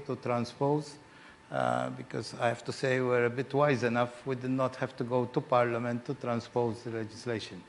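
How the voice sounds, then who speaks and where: A middle-aged man with a hoarse voice giving a conference talk from a podium, pausing to clear his throat. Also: medium pitch, a little hiss or hum. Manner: unhurried, frequent throat-clearing and fillers. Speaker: financial regulator giving a keynote talk. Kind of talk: conference presentation. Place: large hall with chandeliers. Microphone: podium microphone.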